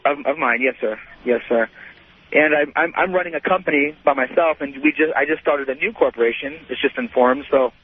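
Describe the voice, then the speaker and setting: A man in his thirties calling a radio show, telling a personal story in a halting, repetitive way full of "you know". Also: on the high side, husky. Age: 30s. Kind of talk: unscripted personal account on a call-in show. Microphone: telephone. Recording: muffled lo-fi phone call to radio.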